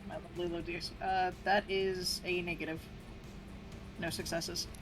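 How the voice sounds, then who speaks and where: A woman's voice: fairly low pitch, little pitch movement, normal speed. A woman playing a tabletop game, chatting casually in a wry, salty way. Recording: music clearly under the voice. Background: clear background music.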